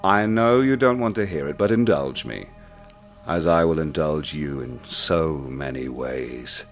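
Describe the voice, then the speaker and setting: An actor playing a cold, sadistic man who speaks to a bound captive in a soft, measured, menacing way in a radio play. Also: very deep voice, faint music behind. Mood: silkily menacing.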